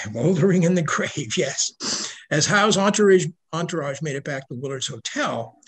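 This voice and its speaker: An older man giving a prepared slide lecture on history over a video call, reading in a measured way. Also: a little high, lively intonation, a bit bright, breathy edge. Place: indoor room at home, video call. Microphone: video call microphone.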